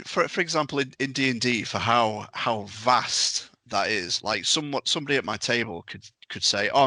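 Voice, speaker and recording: A man's fairly low voice with a bright, forceful edge. He chats casually on a podcast panel, and the sound is dry and close. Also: no room sound. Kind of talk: casual chat about tabletop games.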